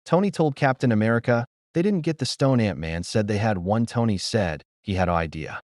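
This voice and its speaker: A man's voice: lowish voice, lively intonation, fairly quick, darkish tone, no room sound, clean studio sound. A man retelling a story as an amateur reviewer, in short, choppy, loosely worded sentences. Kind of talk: simple spoken retelling of a film's plot.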